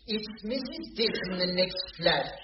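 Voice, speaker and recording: A man's voice: sits high, expressive, moderate pace. An actor playing a polite, apologetic Englishman in a 1980s radio sitcom. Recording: dull, very noisy, roomy, distant radio recording.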